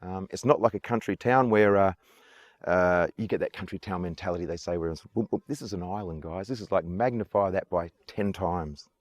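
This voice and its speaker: Fast-talking, low-voiced Australian man. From Adelaide, South Australia. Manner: enthusiastic and earnest, repeats his praise. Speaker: tour guide. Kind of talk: casual interview answers. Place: outdoors on an island.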